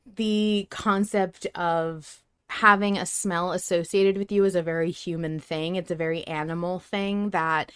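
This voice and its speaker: A woman chatting casually as a podcast host, animated, informal and rambling. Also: fairly low pitch, measured pace, few pauses, clear, resonant tone, no room sound, clean studio sound. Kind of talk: casual chat.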